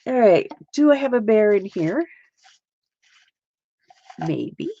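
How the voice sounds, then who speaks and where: A woman's voice: medium pitch, very animated, slow pace, dark tone, dull audio. A woman hosting a casual live art stream, chatting and laughing with viewers as she works, with a slight Canadian accent. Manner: chatty, giggly, talks to viewers while crafting.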